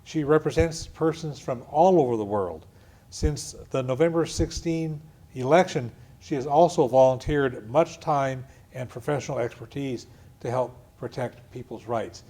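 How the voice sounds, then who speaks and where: A man hosting an interview program, introducing his guests and asking questions in a measured way. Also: mid-range voice, mellow. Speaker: radio show host.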